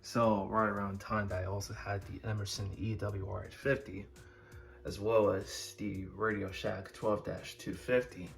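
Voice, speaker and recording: A man presenting a collection in a casual showcase style, with halting, wordy phrasing. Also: lowish voice, flat delivery, gravelly, soft background music. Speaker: video creator presenting a collection. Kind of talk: casual product showcase narration.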